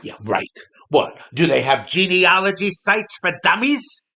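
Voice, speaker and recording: A man's voice: sits high, sing-song, measured pace, creaky, bright, forceful tone, heavily acted. A man playing a disgruntled ventriloquist dummy, a sardonic showbiz veteran who gripes and wisecracks in a comic audio play. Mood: wry. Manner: griping, sardonic, emphatic.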